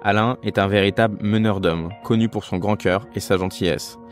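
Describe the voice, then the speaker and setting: A man's voice: fairly low pitch, steady tone, fairly quick, soft background music. Earnest man hosting a podcast. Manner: earnest, emphatic delivery. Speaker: podcast host. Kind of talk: scripted intro, then interview.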